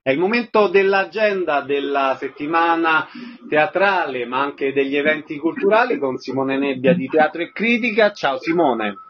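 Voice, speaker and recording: A man's voice: a little high, animated, moderate pace, muffled recording. A man hosting a radio show, introducing segments and guests in a presenter's style.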